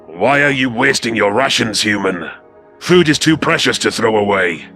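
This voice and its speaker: A man's voice: fairly low pitch, lively intonation, normal speed, bright, forceful tone, faint music behind. A man voicing a scrawny, cruel male reptilian alien underling in a sci-fi audio story. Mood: scornful. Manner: contemptuous, hissing.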